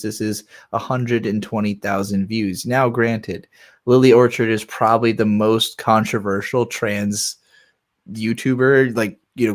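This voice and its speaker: A man giving casual, unscripted reaction commentary as a streamer, in a conversational, rambling and sweary way. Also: fairly low pitch. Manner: conversational, rambling, sweary, acts out imagined replies.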